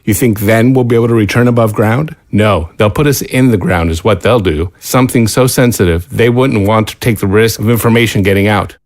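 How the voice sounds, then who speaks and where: An actor playing a frustrated lab scientist who complains bitterly and swears, in a radio drama. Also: male voice, on the low side, fairly quick, few pauses, no echo, studio quality, close to an everyday voice.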